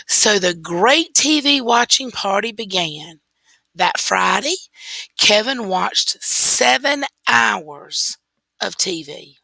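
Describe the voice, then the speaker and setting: A woman reading a children's story aloud in a deliberate, expressive way, stressing key words. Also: mid-range voice, vocal fry, no echo, low-quality recording. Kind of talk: scripted story read-aloud.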